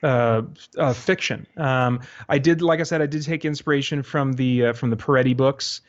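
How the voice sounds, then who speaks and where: A man's voice: medium pitch, normal speed. A man talking as a podcast guest in an easygoing, informal, self-deprecating way. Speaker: podcast guest, audio drama creator. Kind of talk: casual interview chat. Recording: dull, lo-fi podcast audio.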